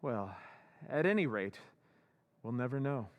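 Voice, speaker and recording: A man's voice: medium pitch, big pitch swings, a little slow, long reverb tail. An actor playing a timid, worried married man in an old-time radio drama recreation, speaking hesitantly.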